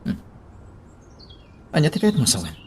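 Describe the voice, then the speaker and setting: An actor playing an earnest, solemn priest in an Ilocano radio drama. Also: male voice, fairly high pitch, huge pitch swings, normal speed, slightly rough, heavily acted. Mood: probing, sympathetic. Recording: faint background noise.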